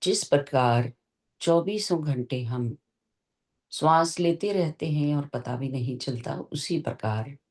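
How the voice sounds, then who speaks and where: A meditation teacher guides a session in a calm, gentle way. The pace is very slow and deliberate, with short phrases set apart by long pauses. The pitch sits in a middle range.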